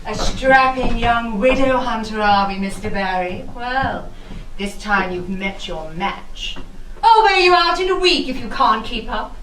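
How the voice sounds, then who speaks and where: Actress as a high-voiced scheming merry widow. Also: female voice, expressive, a bit bright. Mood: predatory. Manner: imperious, gleeful, drawn-out. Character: scheming, lustful merry widow, haughty lady. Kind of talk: theatrical costume comedy in rhyme. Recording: echoey room, distant mic, faint music.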